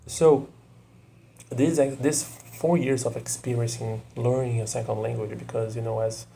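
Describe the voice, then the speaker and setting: A young Brazilian man, native Portuguese speaker, talking casually to camera in English with a Brazilian accent, often pausing to search for words and adding "you know". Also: mid-range voice, steady tone, gravelly, dark tone, slight background noise. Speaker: video creator.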